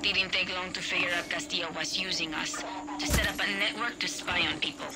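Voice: mid-range voice, steady tone, brisk, loud music behind the voice, lightly acted. An actor playing a dry, matter-of-fact hacker ally in a video game.

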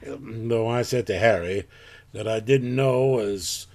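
A man playing a plain-spoken rural farmer in a live audio drama, telling his story in a folksy, halting way. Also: fairly low pitch, gravelly, darkish tone, full character voice. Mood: rambling, earnest.

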